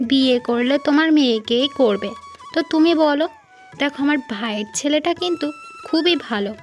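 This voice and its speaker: A woman playing an earnest, gentle-mannered village woman in a moral-story cartoon, speaking conversationally. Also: sits high, close to an everyday voice.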